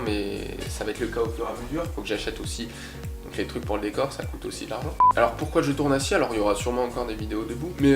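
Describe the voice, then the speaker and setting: A young man with a cold chatting casually to camera in his bedroom, rambling with lots of fillers, recorded on a cheap desk mic. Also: mid-range voice. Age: young adult. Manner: rambling, filler-heavy, self-deprecating. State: congested with a cold. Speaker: YouTuber. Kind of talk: casual vlog chat. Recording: echoey room with background music.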